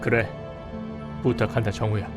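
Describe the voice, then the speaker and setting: A man's voice: on the low side, flat delivery, over music, natural voice. Man as a stern, suspicious police detective. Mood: firm, businesslike. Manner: deliberate, pointed interrogating. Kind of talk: audio drama acting.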